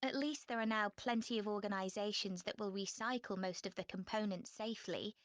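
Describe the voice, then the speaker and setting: A woman playing a frank, chatty young student in a clear, measured scripted dialogue. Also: medium pitch, no room sound, close to an everyday voice. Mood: informative, interested. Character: young female student planning a class presentation, frank and chatty. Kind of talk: scripted exam listening dialogue.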